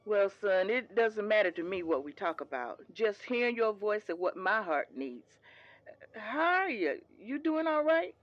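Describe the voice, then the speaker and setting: A woman's voice: medium pitch, very animated, unhurried, no room sound, lo-fi sound. A woman playing a devout older mother in an audio drama, patient and reassuring, firm but tender, with a preachy streak.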